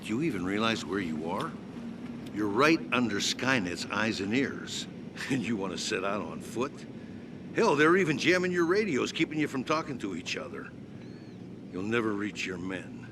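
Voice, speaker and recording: Scornful survivor leader, wildly swinging delivery. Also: male voice, lowish voice, big pitch swings, noisy background. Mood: stern, scornful. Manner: scornful, mocking, drawn-out delivery. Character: gruff, bitter male leader of a survivor group. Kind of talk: video game cutscene acting. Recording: loud music behind the voice.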